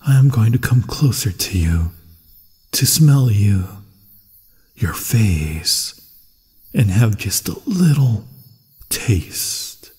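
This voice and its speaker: Man playing a low, slow, breathy predatory werewolf. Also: big pitch swings, a little mellow, in a large hall, heavily acted. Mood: hungry, possessive. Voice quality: low, breathy, often whispered. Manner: slow, hungry, menacing coaxing. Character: predatory, possessive male werewolf hunting its human prey. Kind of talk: ASMR fantasy roleplay acting.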